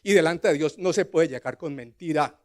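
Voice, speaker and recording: An older man with a Colombian accent preaches in a slightly high voice. He tells scripture as a dramatic story, dropping to quiet asides and rising to loud, emphatic declarations, often putting questions to his listeners. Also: moderate pace. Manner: rhetorical questions to the congregation.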